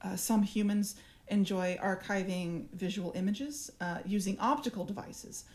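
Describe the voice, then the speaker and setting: A woman playing a cool, smug alien parasite speaking through its human host, measured and formal, in an audio drama. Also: medium pitch, darkish tone, lightly acted. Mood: smug, persuasive.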